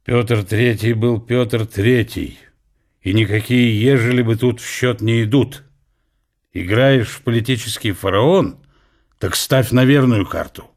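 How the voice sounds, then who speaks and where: Husky, brooding old Russian scholar. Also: male voice, mid-range voice, darkish tone. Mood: wry, brooding. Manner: deliberate, weighty, philosophical musing. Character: Lomonosov, ailing aging scholar, bitterly reflective, proud of Russia. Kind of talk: radio play inner monologue. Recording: music behind the voice.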